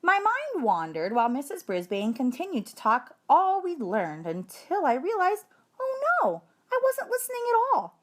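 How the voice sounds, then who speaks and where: Lady reading as eager little hamster guy in a kids book, chatty, earnest, bouncy pitch. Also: normal speed, full character voice. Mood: excited. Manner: earnest, excitable storytelling. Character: small eager chatty male classroom hamster narrating his life.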